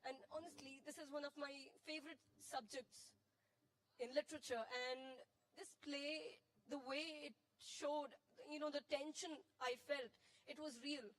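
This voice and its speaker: A woman's voice: high voice, steady tone, a little slow, low-quality recording. A woman with a sore throat giving an earnest, emotional spontaneous comment from the audience. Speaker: audience member giving feedback.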